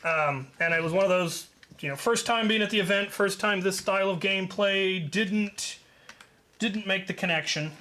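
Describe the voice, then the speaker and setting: High-pitched man telling a casual story. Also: normal speed, sharp tone. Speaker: host telling a story of a past game.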